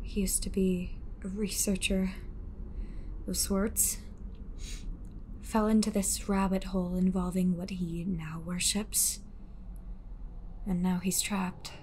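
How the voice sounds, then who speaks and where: A woman playing an eerie, coolly commanding fortune teller in an audio roleplay, speaking in a measured, deliberate way. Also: fairly low pitch, level tone, darkish tone, a little hiss or hum. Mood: cold, intent.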